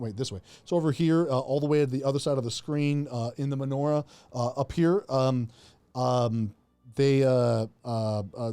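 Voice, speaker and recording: A man's voice: medium pitch, dark tone, no echo. A man giving an unscripted Bible teaching talk, rambling with many fillers and restarts.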